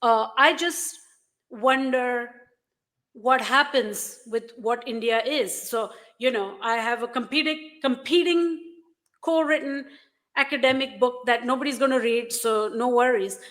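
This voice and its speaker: A woman's voice: a little high, unhurried. A woman interviewer asking a question thoughtfully, with frequent hesitations. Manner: warm backchannels, thoughtful with hesitations. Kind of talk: conversational interview.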